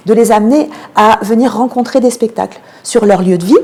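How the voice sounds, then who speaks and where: Mellow-voiced woman explaining, with frequent hesitations. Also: fairly high pitch, brisk. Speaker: theatre programming manager, interview guest. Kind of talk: radio interview, explanatory answers.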